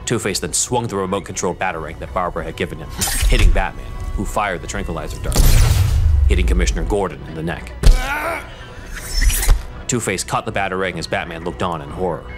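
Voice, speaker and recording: Deep guy narrating an audio drama story, music playing behind him. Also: a little fast.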